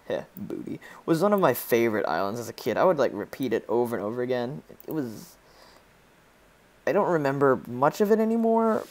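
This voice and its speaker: A teenage boy from Poland with a Polish accent, joking and rambling as he plays a game and comments. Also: medium pitch. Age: teens. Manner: joking, rambling, reads game lines in put-on voices. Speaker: gaming YouTuber. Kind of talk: casual let's play commentary.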